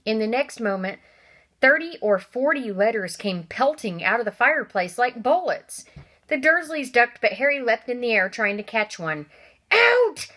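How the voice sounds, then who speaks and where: A woman reads a children's novel aloud at a middling pitch. She gives the characters lively voices. Every so often she stops to talk straight to her young listeners. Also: moderate pace. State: coughing from allergies. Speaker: reader.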